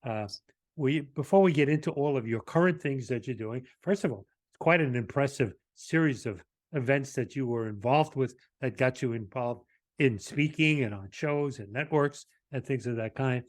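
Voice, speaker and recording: A man's voice: medium pitch, moderate pace. A man hosting an interview show, speaking conversationally and effusively, repeating phrases as he goes. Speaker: talk show host.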